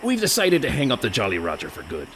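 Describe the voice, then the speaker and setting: An actor playing a blustering but sheepish pirate captain with rustic pirate speech in a comic radio play. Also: male voice, mid-range voice, wildly swooping pitch, fast talker, background noise. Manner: hemming, rustic pirate dialect.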